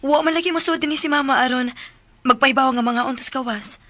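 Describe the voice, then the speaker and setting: High, bright-voiced polite daughter. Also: female voice, fast. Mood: questioning. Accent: Cebuano Filipino. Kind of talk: Filipino radio drama acting.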